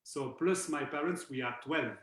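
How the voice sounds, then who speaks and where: A man's voice: a little high, normal speed, fairly bright tone, roomy sound. A man from eastern Algeria, a percussionist guest chatting casually and hesitantly on a video call, with many restarts. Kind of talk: casual online chat.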